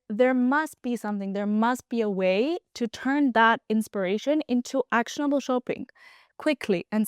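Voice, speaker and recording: A young woman in her twenties from Cyprus speaks as an interview guest, relaxed and chatty. Her voice is smooth and clear. The sound is dry and close. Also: medium pitch, dry recording. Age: 20s. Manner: relaxed, chatty, laughs easily. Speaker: guest, app founder. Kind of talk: casual interview chat.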